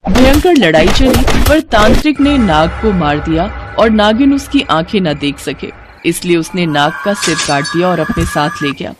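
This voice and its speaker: A woman's voice: lowish voice, animated, unhurried, few pauses, over music. A woman storyteller giving a dramatic scripted narration of a story.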